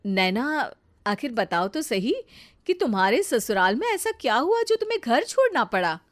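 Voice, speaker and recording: Woman as a gentle sister-in-law, fairly high voice. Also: sing-song, moderate pace, no echo. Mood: concerned. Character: patient, kindly young sister-in-law giving gentle advice. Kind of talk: animated story voice acting.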